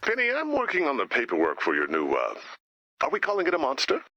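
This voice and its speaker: A man voicing a reassuring, mildly officious supervisor in an animated video game, measured and encouraging, through a radio filter. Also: a little high, dry recording, lo-fi sound, exaggerated voice. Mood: hesitant, practical.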